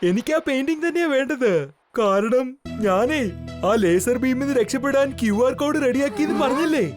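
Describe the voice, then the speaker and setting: Eccentric cartoon scientist: high, whiny, flustered, prone to exclamations. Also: male voice, fairly quick, soft background music. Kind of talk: children's cartoon dub.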